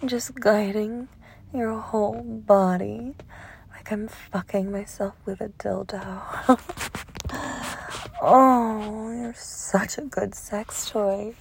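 A woman speaks very slowly in a high, breathy voice that often slips into whispering. Her manner is sultry and teasingly dominant, as in intimate adult audio roleplay, with sighs and moans. There is faint noise behind her. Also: a little mellow. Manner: moaning, sighing, slow sultry coaxing. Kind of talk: erotic audio roleplay, intimate partner address.

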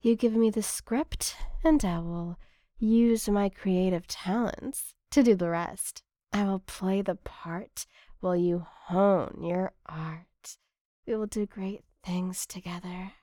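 A woman performing intimate adult ASMR straight to the listener, murmuring seductively and often slipping into a breathy whisper, close to the mic. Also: medium pitch, slow pace, mellow, dry recording. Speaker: erotic audio performer. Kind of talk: erotic ASMR audio addressed to the listener.

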